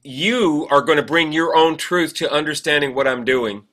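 A man's voice: a little high, normal speed, a bit bright. A man co-hosting a casual conversation, reflective and emphatic, building his point in repeated phrases. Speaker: podcast co-host, military veteran.

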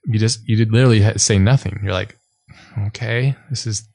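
A man's voice: on the low side, fairly quick, vocal fry, darkish tone, no room sound. A man from Hawaii hosting a podcast, telling stories with enthusiasm, swearing and using slangy words. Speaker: podcast host. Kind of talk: casual chat.

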